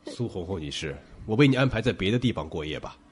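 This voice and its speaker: An actor playing an earnest, dutiful police detective in an audio suspense drama, measured and official in manner. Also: male voice, sits low, very animated, a little hiss or hum. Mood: serious, cautioning.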